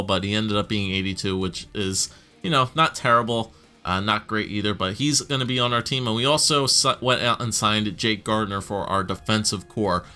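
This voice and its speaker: A young man hosting his own gaming channel, talking through a hockey franchise game in casual, chatty commentary with fillers and quick self-corrections. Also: medium pitch, pushed and bright, smooth. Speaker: gaming YouTuber.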